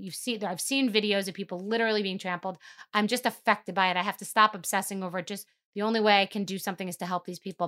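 A woman's voice: medium pitch, pushed and bright. A woman hosting a podcast, talking emphatically in a casual monologue and rising to incredulous outbursts. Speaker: podcast host.

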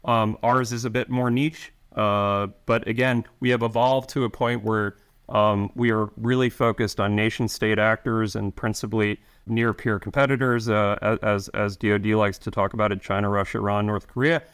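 Middle-aged male guest with a lowish voice; halting delivery full of restarts. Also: steady tone, a bit bright, smooth. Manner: halting, many restarts and fillers. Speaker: intelligence officer guest. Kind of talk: interview answers.

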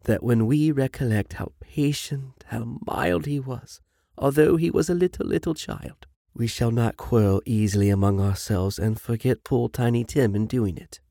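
A man reading a storybook plays a gentle, tender-hearted family father, speaking earnestly and affectionately with some halting. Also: medium pitch, vocal fry, no room sound, clean studio sound. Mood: tender grief.

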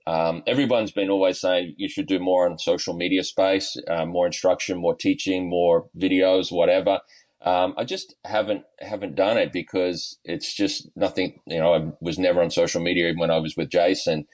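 Deep-voiced Australian man, easygoing. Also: fairly even intonation, moderate pace, darkish tone. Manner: easygoing, lots of ums and uhs, chuckles often. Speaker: golf coach and guest. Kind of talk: podcast interview, relaxed storytelling.

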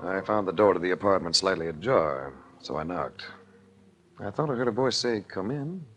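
An actor with an American accent playing a suave, hard-boiled investigator in a 1940s radio drama, speaking and narrating in a smooth, dry way. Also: male voice, sits low, lively intonation, moderate pace, loud music behind the voice. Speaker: lead actor and narrator of a detective series. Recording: vintage 1940s radio recording.

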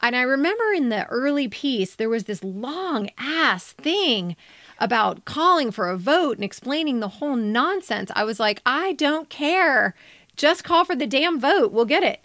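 A woman's voice: sits high, big pitch swings, a little slow, a bit bright, no echo, clean studio sound. A woman co-hosting a podcast, giving candid, emphatic and blunt critique in a conversational way. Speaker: podcast co-host and editor. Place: recording studio.

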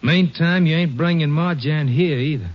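An American actor playing a bitter, lazy farm brother with a taunting rural drawl in a western radio drama. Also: male voice, on the high side, moderate pace, natural voice. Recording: muffled old radio broadcast.

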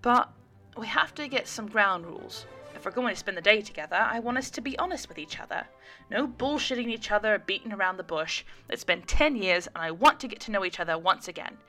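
A woman voicing a pregnant woman who is blunt, excitable and teasing as she talks with an old friend in an audiobook. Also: mid-range voice, fairly quick, soft background music. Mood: frank, firm. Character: pregnant woman, candid, bold and teasing, meeting former best friend.

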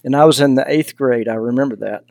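A man's voice: mid-range voice, fast, creaky, darkish tone, no echo. An older man from Texas with a Southern accent, telling stories in a casual, folksy way as an interview guest. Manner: folksy, anecdotal. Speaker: podcast guest, hunting mentor and foundation founder.

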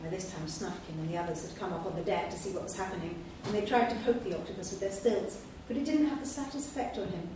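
A woman reading a children's storybook aloud at a live event, in a steady, clear storytelling manner. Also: mid-range voice, quick pace, few pauses, noisy background. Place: large hall at a live event. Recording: echoey hall from far off.

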